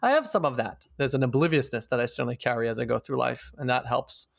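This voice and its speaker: A man's voice: medium pitch, lively intonation, fast, vocal fry, no echo, clean studio sound. A man being interviewed, talking in a thoughtful, searching way and swearing casually now and then. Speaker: interview guest. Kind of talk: reflective conversational interview.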